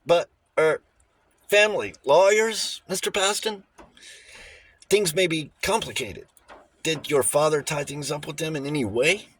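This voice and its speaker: A man's voice: fairly high pitch, a little slow, a bit bright, breathy edge, pitched above the performer's own voice. A man voicing a little old bachelor lawyer in an audiobook, formal and precise, shifting between diffidence and relish for a fight. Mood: diffident, flustered. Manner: hesitant stammers giving way to precise, emphatic pronouncements.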